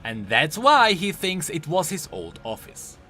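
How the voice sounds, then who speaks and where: A man's voice: a little high, huge pitch swings, unhurried, pushed and bright. A man hosting a video, giving scripted commentary on a game character in an explainer style. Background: music.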